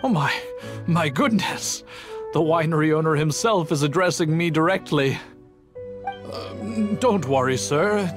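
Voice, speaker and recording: A man's voice: fairly high pitch, unhurried, soft background music, close to an everyday voice. A man voicing a nervous, overeager master brewer in a video game, servile and flustered in his way of talking. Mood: flustered, deferential. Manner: stammering, deferential, flustered.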